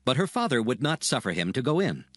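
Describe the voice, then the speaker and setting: A man narrating scripture as a solemn, measured storyteller in a dramatized audio production. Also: mid-range voice, sing-song, fairly bright tone, no room sound, clean studio sound.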